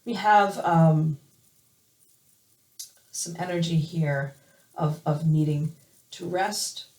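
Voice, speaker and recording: A woman hoarse from allergies giving a weekly tarot reading, hesitant with many fillers and restarts. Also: low-pitched, slow pace, a little mellow, far from the mic. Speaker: tarot reader. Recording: roomy, from off the mic.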